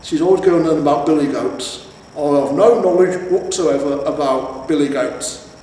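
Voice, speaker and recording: A man's voice: on the high side, normal speed, warm tone, close to an everyday voice. A man voicing a proud, eager troll, a henpecked family father who talks in earnest, homely boasts, in a storybook reading. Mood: sheepish, earnest. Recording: echoey reverberant room, distant mic, faint noise.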